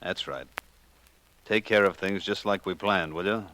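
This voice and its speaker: A man playing a controlled, condescending crime boss with an American accent and measured, formal speech in 1940s radio drama style. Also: low-pitched, sharp tone, poor audio. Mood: sly, satisfied. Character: smooth, controlled crime boss, coolly patronising. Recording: hissy old 1940s radio recording.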